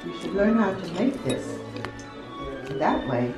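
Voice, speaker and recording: An older woman from Jamaica chats casually with her family. Her pitch moves in a lively way, in an echoey room with music behind. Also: slow pace. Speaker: visiting mother of the host. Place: home dining room and kitchen.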